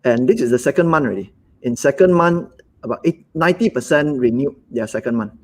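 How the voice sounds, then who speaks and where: A man with a Malaysian English accent, a business owner talking in a live webinar conversation, halting and repeating words as he acts out quotes. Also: medium pitch, animated.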